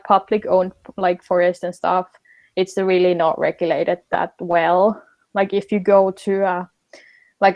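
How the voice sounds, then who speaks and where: A young woman, chatting casually as an interview guest, with many fillers. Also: fairly low pitch. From Finland. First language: Finnish.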